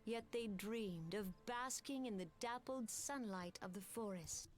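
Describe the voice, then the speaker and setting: A woman voicing a calm, dignified elder leader in a video game, giving a solemn speech about her nation's history. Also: medium pitch, measured pace, faint music behind, close to an everyday voice.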